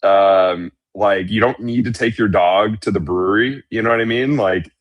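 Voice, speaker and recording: A man's voice: lowish voice, normal speed, dull audio. Joking man bantering on a podcast. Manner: joking, says "dude" a lot. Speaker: podcast co-host. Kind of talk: casual banter.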